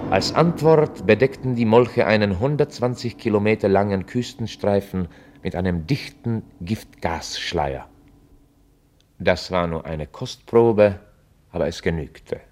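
A sober chronicler with a fairly low voice. His scripted radio-drama narration is formal and deliberate, delivered with a straight, deadpan face. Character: sober male chronicler of an absurd future war.

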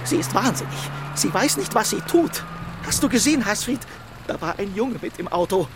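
Frightened villager, high male voice, anxious, foreboding, children's audio drama, rain noise behind. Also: expressive, normal speed. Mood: dread. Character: frightened, superstitious male villager walking his dog. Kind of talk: children's radio play acting.

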